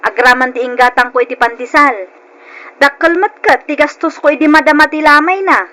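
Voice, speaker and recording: A high, pushy sister-in-law. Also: female voice, fairly quick, pushed and bright. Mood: insistent. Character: pushy, grasping sister-in-law, outwardly sympathetic. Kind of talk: old-time Ilocano radio drama acting. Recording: noisy old radio drama recording.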